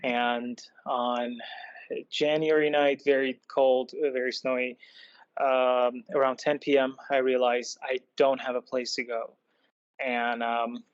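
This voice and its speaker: A man from Iran telling his own story as a podcast guest, in a halting, thoughtful way. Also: medium pitch, clean tone, dry recording. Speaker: podcast guest, product designer. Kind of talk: personal storytelling in an interview. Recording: dull lo-fi close dry sound.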